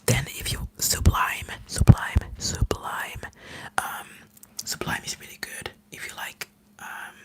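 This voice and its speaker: A man from Finland, a native Finnish speaker, rambling eagerly in a soft whisper as an ASMR live streamer. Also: slow pace.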